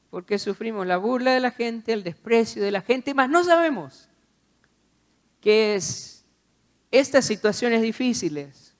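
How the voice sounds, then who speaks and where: Man preaching an exhorting sermon. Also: very high voice. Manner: exhorting, with repetition and rhetorical questions.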